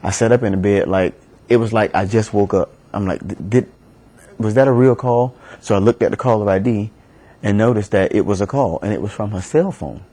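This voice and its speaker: Low-voiced, mellow man recounting haltingly. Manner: halting, with repeated words and pauses. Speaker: bereaved husband giving an interview. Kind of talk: personal recollection in a media interview.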